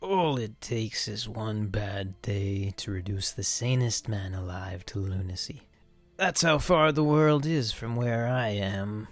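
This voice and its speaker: A man's voice: on the low side, expressive, takes it slowly, faint music behind. A man voicing an insane clown crime lord with a theatrical, sinister manner. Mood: sinister, musing.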